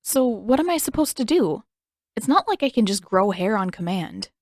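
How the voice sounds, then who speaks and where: A woman's voice: mid-range voice, very animated, normal speed, no echo, clean studio sound. A woman voicing an eager, playful twelve-year-old boy in a fan-made audiobook reading. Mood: puzzled. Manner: bright, eager, gleeful.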